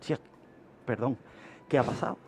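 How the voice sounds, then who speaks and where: A man with a Spanish accent from Spain giving an informal, didactic radio explanation as an expert guest, repeating phrases for emphasis. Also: moderate pace.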